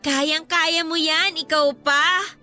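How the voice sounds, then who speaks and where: A supportive younger sister in a Filipino radio love drama. She is bubbly and girlish, with a very high, bright voice. Music plays lightly behind her. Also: steady tone, takes it slowly, exaggerated voice. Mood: bubbly, encouraging.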